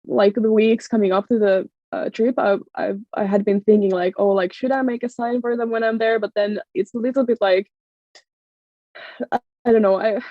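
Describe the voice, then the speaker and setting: A woman with a strong Finnish accent and a fairly high, mellow voice tells a story in casual chat. She talks excitably, with many fillers, restarts and laughs. The sound is dry and a little dull. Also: no echo. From Finland. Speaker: podcast guest.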